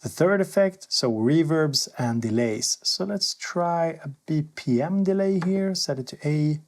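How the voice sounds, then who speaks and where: A man's voice: fairly high pitch, lively intonation, measured pace. A man presenting a casual hands-on gear demo, thinking aloud in an informal way as he goes. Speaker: synth reviewer and presenter. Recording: synthesizer music playing alongside voice. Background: synthesizer sounds.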